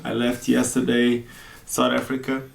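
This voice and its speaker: A relaxed man hosts an informal podcast chat, his voice carrying a gravelly edge. He talks in an easygoing, chatty way and laughs often. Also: mid-range voice, a little slow. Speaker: skate vlogger and podcast host. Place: skate shop, sound not the best.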